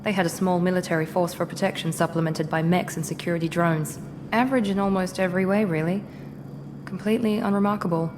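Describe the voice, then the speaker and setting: A woman's voice: lowish voice, steady tone, fast talker, close to an everyday voice. An actress voicing a guarded female Cerberus operative in a video game, speaking in a curt, aloof manner. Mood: cool. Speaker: game character. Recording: game audio with long reverb and clear hiss.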